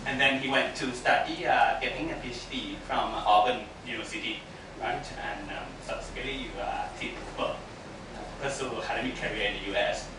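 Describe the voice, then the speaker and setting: A man giving a hesitant formal introduction of a guest speaker, halting and full of fillers. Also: medium pitch, steady tone, far from the mic. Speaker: faculty host introducing a speaker. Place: echoey lecture room. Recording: echoey hall, picked up from afar, noisy.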